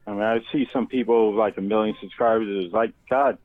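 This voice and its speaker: A man's voice: fairly low pitch, steady tone, quick pace. A man calling in to a show for a casual chat over a thin phone connection. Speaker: caller. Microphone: phone call. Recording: thin, narrow phone line.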